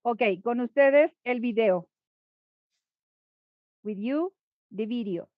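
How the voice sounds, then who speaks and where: High-voiced woman on tinny audio. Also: takes it slowly, dry recording. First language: Spanish. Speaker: event presenter and interpreter. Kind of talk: presenting and summarizing at an online event. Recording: tinny, phone-like call audio.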